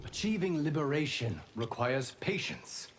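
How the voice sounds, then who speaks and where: A man voicing a calm, resolute demigod in a video game, speaking gravely and formally. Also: fairly high pitch, measured pace, slight background noise. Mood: solemn, firm. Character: solemn, principled male demigod, calm and resolute. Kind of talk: video game character dialogue.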